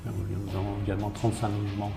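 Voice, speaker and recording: Male mayor in interview, gravelly low voice, explanatory and enumerating, roomy recording with guitar music behind. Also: monotone, fast talker. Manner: matter-of-fact, enumerating, repeats and rephrases. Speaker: small-town mayor being interviewed. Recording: roomy, echoey sound under guitar music.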